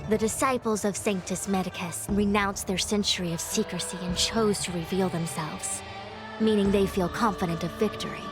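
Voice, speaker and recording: A woman's voice: medium pitch, steady tone, close to an everyday voice. Actress as a composed female strategist over music. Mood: grave, expository. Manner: formal, measured, authoritative. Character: composed, self-assured young female diviner and military strategist. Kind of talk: scripted video game voice acting. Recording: loud music under the voice.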